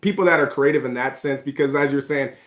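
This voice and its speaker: Relaxed man hosting a podcast. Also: mid-range voice, quick pace. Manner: relaxed, many fillers and restarts. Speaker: podcast host. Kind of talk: casual interview conversation.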